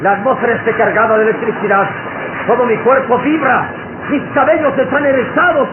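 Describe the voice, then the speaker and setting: An actor playing a heroic, commanding adventurer in a dramatic, declamatory radio serial style. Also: male voice, very high voice, fairly even intonation, a little fast, echoey room. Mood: urgent. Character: heroic adult male adventurer, commanding and fearless. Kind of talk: old radio serial acting. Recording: narrow, noisy vintage radio recording.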